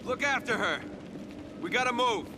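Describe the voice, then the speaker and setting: An actor playing a gruff, commanding resistance squad boss in a video game, blunt and urgent. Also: male voice, very high-pitched, expressive, moderate pace, noisy background. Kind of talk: video game cutscene acting.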